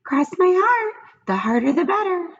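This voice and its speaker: Woman as little talking pigtail for a children's tale: very high pitched, whiny, coaxing, swooping delivery. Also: very animated, normal speed, highs cut off, exaggerated voice. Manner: cajoling, whiny. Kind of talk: children's storybook character voice.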